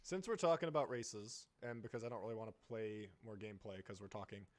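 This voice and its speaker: A man's voice: fairly low pitch, fast talker. A man chatting casually on a gaming livestream, bantering loosely with plenty of swearing and laughs. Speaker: streamer co-host. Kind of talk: casual gaming stream chat.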